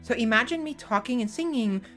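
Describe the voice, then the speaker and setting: A woman streamer chatting casually into a desktop microphone, rambling and halting, often restarting her sentences and poking fun at herself. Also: on the high side, lively intonation, fairly bright tone, faint music behind. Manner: self-deprecating. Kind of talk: casual live-stream chat.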